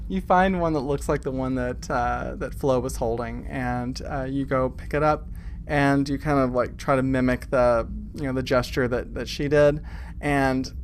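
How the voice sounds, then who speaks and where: A man improvising narration as game master of a tabletop game, describing scenes in a casual, halting way. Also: medium pitch, steady tone, a little hiss or hum. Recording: music playing behind voice. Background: clear background music.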